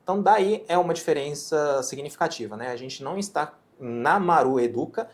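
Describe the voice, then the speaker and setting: A man from Japan whose first language is Japanese, speaking with a Japanese accent as he explains his teaching ideas in an interview, measured and explanatory. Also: on the high side, lively intonation. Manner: measured, explanatory, often ends phrases with a questioning tag. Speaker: physician and medical educator.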